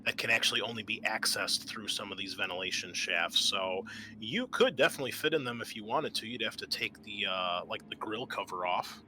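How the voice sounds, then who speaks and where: A man narrating a tabletop game as game master, explaining things in a halting, improvised way. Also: fairly low pitch, sharp tone, a little hiss or hum, low-quality recording.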